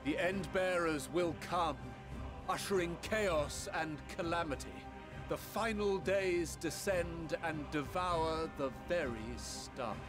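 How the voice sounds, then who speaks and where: An actor playing a grave, authoritative statesman in a fantasy game, making solemn, measured formal oratory. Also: fairly high pitch, over music. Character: grave, authoritative male elder statesman addressing an assembly.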